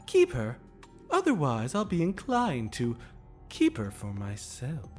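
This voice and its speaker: An actor playing a mischievous, flirtatious male demon with a teasing, menacing, theatrical manner and languid drawn-out phrasing, in an audio drama. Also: medium pitch, huge pitch swings, soft background music. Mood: teasing menace.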